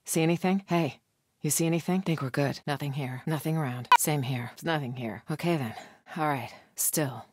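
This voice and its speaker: Wary female cult fighter, husky, tense edge, terse video game barks, very dry recording. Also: low-pitched, normal speed, no echo. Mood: tense, hushed. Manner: short, urgent, terse phrases. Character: wary, fierce female cult soldier on patrol.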